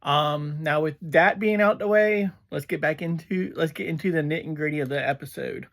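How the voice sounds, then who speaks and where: A casual reviewer talking through an episode in a halting way, often pausing mid-sentence. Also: medium pitch, a bit bright. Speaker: reviewer and host of a review series.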